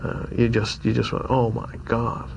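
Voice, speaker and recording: A man recalls events hesitantly in a gravelly voice. A faint ambience hangs behind him. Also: mid-range voice, completely flat delivery, measured pace, background noise. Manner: hesitant, repeating himself. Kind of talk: recalling in an interview.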